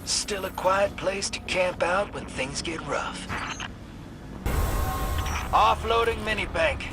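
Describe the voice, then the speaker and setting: A man's voice: sits high, expressive, takes it slowly, over loud music, heavily acted. A man playing a shady, sardonic drifter in a video game, talking in clipped, folksy, teasing slang. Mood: sly, teasing. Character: shady, streetwise, sardonic male drifter rogue.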